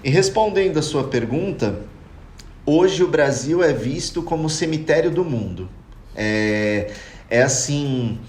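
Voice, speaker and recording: A man's voice: mid-range voice, a little mellow. A young Brazilian man living abroad, talking earnestly and reflectively in a casual podcast chat. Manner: earnest, reflective, addresses listeners as "cara". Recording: echoey room with faint noise.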